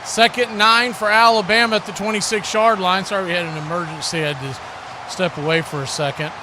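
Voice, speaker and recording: A man doing excited live play-by-play commentary of a football game. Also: high voice, brisk, background noise.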